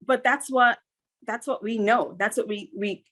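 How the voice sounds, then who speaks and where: A woman's voice: on the high side, fairly even intonation, a little slow, a bit bright. A middle-aged woman from Guyana, a guest on an interview podcast, talking in an earnest, upbeat way and repeating points for emphasis. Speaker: guest, business consultant.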